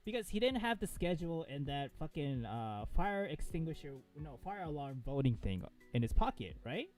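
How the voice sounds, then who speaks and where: A man reacting casually to a game, excitable and full of slang and swearing. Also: on the high side, moderate pace, faint music behind. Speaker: let's-play co-host.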